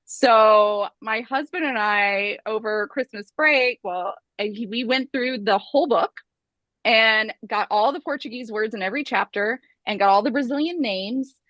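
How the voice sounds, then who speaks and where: A woman's voice: a little high, unhurried, a bit bright, dry recording, muffled recording. A woman with an American accent, an author explaining at length in a casual video-call chat.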